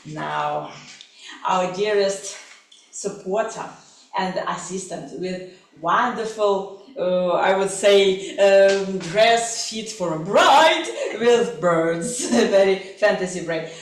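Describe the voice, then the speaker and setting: A woman hosting a club meeting, effusive and quick to laugh while praising members. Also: medium pitch, far from the mic. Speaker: meeting evaluator and host. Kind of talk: lively meeting chat. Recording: echoey room from off mic, loud noise.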